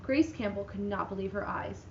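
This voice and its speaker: A woman giving a dramatic reading of a children's picture book, with lively, expressive delivery and voices for the characters. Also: medium pitch, normal speed, roomy sound, muffled recording, slight background noise. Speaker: reader.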